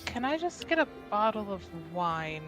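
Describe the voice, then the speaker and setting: A woman's voice: medium pitch, very animated, normal speed, loud music behind the voice. A woman playing a tabletop game, describing what her character does in the third person. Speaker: player. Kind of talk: casual tabletop play.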